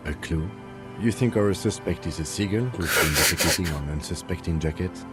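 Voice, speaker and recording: An actor playing a composed, dry, disapproving police lieutenant with a measured, restrained delivery in a video game. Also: male voice, deep voice, over music, natural voice. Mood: dry disbelief.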